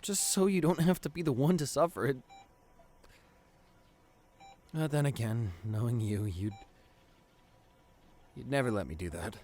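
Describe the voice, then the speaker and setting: Man acting a tender, grieving, halting boyfriend. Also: medium pitch, a little mellow, close to an everyday voice. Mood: pained, tender. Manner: halting, tender, stammering with emotion. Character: devoted, grieving boyfriend visiting his comatose partner in hospital. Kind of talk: intimate ASMR audio roleplay.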